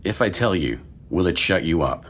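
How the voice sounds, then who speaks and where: Very deep, dry male doctor, acted. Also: moderate pace. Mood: impatient. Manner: deadpan, wry. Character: dry, sardonic male doctor in his fifties. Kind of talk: screenplay table read. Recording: faint low rumbling noise.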